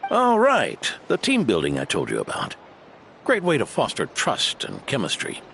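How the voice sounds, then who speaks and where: An actor playing a shrewd, teasing older knightly mentor in a video game, with wry, probing, folksy speech. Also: male voice, mid-range voice, huge pitch swings, normal speed, gravelly, a bit bright, slight background noise, exaggerated voice. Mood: wry interest. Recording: music under the voice.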